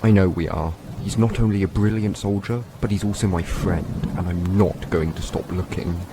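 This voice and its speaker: A man's voice: low-pitched, fairly even intonation, normal speed, background noise, natural voice. A man playing a determined, loyal military search leader in an audio drama, earnest and commanding. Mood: resolute.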